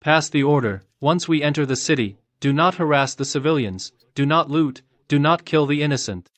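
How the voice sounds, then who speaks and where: A man playing a resolute, principled general in a dubbed motion comic, speaking in measured, terse commands. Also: fairly high pitch, expressive, fairly bright tone, dry recording. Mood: firm command. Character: resolute male general, stern, principled, quietly defiant.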